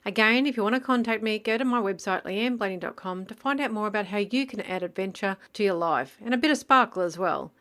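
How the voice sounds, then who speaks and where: A woman's voice: mid-range voice, fast, few pauses, a bit bright. A woman with an Australian accent talking casually and encouragingly, in plain words, as a podcast host in an interview. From Gold Coast, Queensland, Australia.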